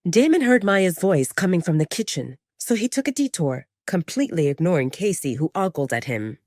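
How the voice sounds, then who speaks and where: A woman's voice: fairly low pitch, very animated, brisk, a little mellow, no echo, studio quality. A woman narrating a scripted romantic fantasy audio drama as storyteller.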